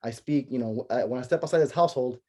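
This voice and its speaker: A young man with a relaxed, mellow voice hosts a loose podcast conversation. Spanish was his first language. He tells stories with plenty of fillers and acts out the reactions along the way. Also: mid-range voice, fast. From United States. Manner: animated storytelling with many fillers and acted-out reactions. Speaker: podcast host. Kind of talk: casual chat.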